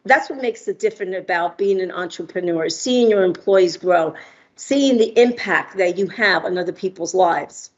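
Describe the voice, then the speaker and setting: A woman's voice: on the low side, normal speed, darkish tone, muffled recording. A middle-aged woman from Argentina giving a talk as an entrepreneur, friendly, with many ums and uhs. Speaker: guest speaker, entrepreneur. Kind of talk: prepared remarks with fillers.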